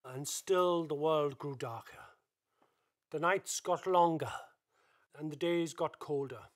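Slow, higher-pitched male storyteller. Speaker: narrator. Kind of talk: dramatic scripted storytelling for a radio play.

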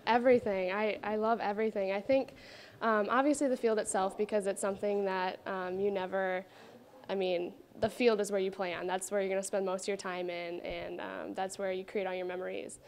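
A young woman with a flat, level delivery. She speaks thoughtfully and haltingly, with many pauses and fillers, into a handheld mic. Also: mid-range voice, a little hiss or hum. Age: 20s. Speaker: college softball player.

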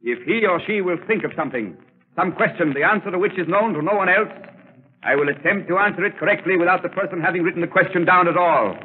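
An actor playing a solemn, commanding stage mentalist, formal and theatrical, in a 1930s radio drama. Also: male voice, high-pitched, quick pace, close to an everyday voice. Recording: crackly, narrow-band 1939 radio broadcast.

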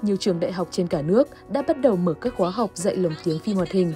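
A woman's voice: on the low side, expressive, fast, mellow, faint music behind. A woman reading scripted narration for a television news report.

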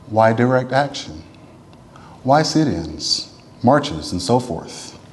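A man reading a written text aloud in a formal, oratorical style, with measured, deliberate and emphatic phrasing. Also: mid-range voice, a little mellow. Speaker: reader at a church event. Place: large church interior. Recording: reverberant large hall.

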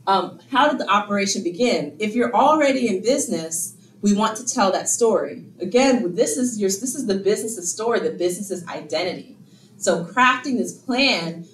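A woman's voice: medium pitch, a bit bright, reverberant, a little hiss or hum. A woman presenting a workshop in a meeting room in a conversational way, full of rhetorical questions and fillers, recorded from far off. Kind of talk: casual workshop lecture. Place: meeting room, in front of a screen. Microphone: far room camera.

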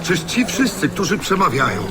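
A man's voice: high-pitched, sing-song, normal speed, noisy background. A man playing an amazed, questioning member of an ancient crowd in a dramatized audio Bible, speaking in a declamatory way.

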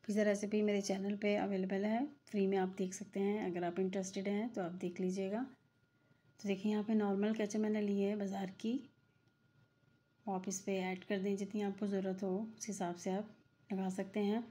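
Chatty woman, mellow voice. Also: mid-range voice, level tone, normal speed. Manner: chatty, repetitive, many fillers. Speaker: cooking channel host. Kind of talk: casual cooking voice-over. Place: home kitchen.

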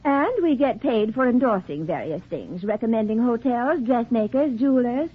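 An actress playing a proud, flustered, long-suffering middle-aged society wife in a 1940s radio play, refined and anxious in manner. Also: female voice, on the high side, expressive, moderate pace, husky. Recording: muffled, scratchy 1940s radio recording.

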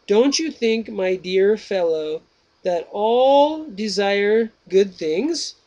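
A man reading aloud the lines of Socrates, a patient older philosopher who questions his pupil in slow, deliberate phrases. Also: high-pitched, lively intonation, mellow, muffled recording, pitched above the performer's own voice, exaggerated voice. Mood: probing.

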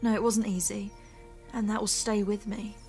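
A woman acts as a resolute young survivor in an audio drama. Her medium-pitched voice is wounded but self-reliant, with music behind it. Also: level tone, moderate pace, darkish tone, lightly acted.

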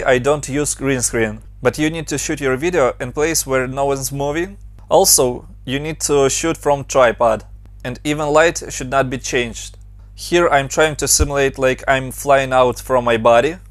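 A man's voice: medium pitch, no echo. Ukrainian-accented man, dry close voice. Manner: brisk, step-by-step instructions. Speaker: motion design tutorial host. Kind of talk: software tutorial narration.

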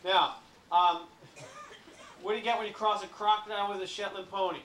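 A man with a fairly high voice jokes with a lounge audience on a cruise ship, teasing them for their answers. He is taped from among the seats, sounding roomy and a little distant over the crowd. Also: steady tone, fairly quick, fairly bright tone, a little hiss or hum. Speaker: comedian on a ship stage. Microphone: handheld mic. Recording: roomy home video from the crowd.

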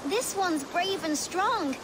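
A woman's voice: very high voice, expressive, slow, full character voice. An actress playing a cheeky, scrappy street-urchin girl in a game cutscene, brash and taunting. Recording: voice over noisy game ambience.

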